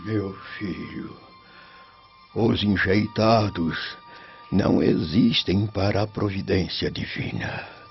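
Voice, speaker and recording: An actor playing a frail, devout old Roman father in a radio drama, solemn and tender, with a breathy, quavering voice. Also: lowish voice, exaggerated voice. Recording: muffled lo-fi, music underneath.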